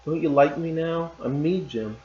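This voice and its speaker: A man's voice: on the high side, moderate pace, darkish tone, natural voice. A man voicing a young loving wife, speaking in an earnest, pleading way. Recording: roomy, slightly dull recording.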